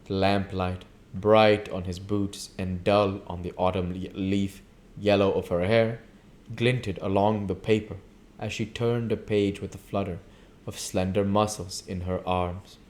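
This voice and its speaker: A man reading a literary novel aloud in a measured narrating manner, as host of his own reading series. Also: sits low, level tone, darkish tone. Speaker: reader and channel host.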